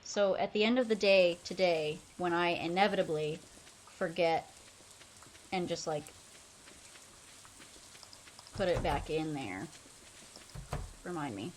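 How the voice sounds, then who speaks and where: A woman's voice: lowish voice, a little slow, pauses often, a little hiss or hum, rough recording. A young woman streaming a game, chatting casually in a loose, rambling way full of fillers. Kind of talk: casual chat while playing a game.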